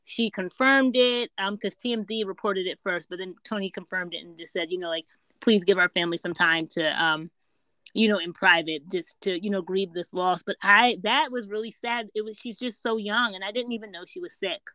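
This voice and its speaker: A woman's voice: mid-range voice, moderate pace, bright, forceful tone, dry recording, poor audio. Woman, podcast co-host, loose chatty talk, dry close sound. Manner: chatty, loose, many fillers and restarts. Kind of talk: casual chat.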